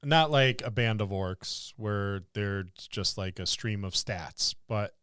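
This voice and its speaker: Clear, smooth-voiced man chatting. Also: lowish voice, takes it slowly, fairly bright tone, dry recording, clean studio sound. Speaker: podcast co-host. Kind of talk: casual tabletop gaming discussion.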